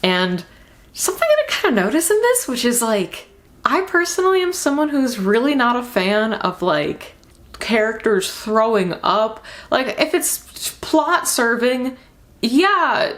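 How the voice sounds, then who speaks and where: A woman's voice: fairly high pitch, big pitch swings, measured pace, fairly bright tone. A young woman giving a casual book review as a vlogger, speaking in an informal, rambling and emphatic way.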